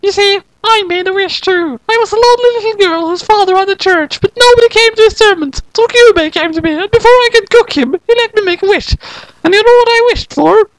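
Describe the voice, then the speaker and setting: A narrator voicing a brash, weepy girl magical fighter in a very high squeaky falsetto, given to dramatic confessions in a parody story. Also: brisk, no room sound, rough recording. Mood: tearful confession.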